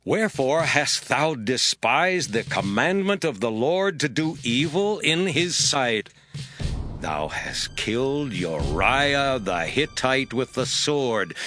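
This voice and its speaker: An actor playing a stern, solemn Old Testament prophet, declaiming in a dramatized scripture reading. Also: male voice, medium pitch, pushed and bright, heavily acted. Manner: slow, declamatory. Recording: background music.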